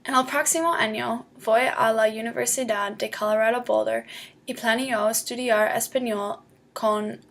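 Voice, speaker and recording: A woman's voice: medium pitch, vocal fry. A young woman student reading a prepared school presentation, speaking carefully as a learner with small grammar slips. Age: young adult. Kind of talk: read-aloud school presentation.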